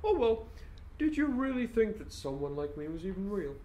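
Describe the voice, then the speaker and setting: A man's voice: high voice, big pitch swings, normal speed. A man playing a bored, taunting, unhinged criminal mastermind in an amateur audio drama, theatrical and mocking. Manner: theatrical, mocking, swooping between hush and outburst.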